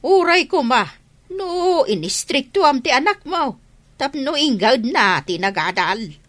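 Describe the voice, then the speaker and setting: A woman's voice: high-pitched, big pitch swings, measured pace, a bit bright, exaggerated voice. A woman playing a stern, unyielding older mother in a radio drama, with an Ilocano Filipino accent. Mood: scolding.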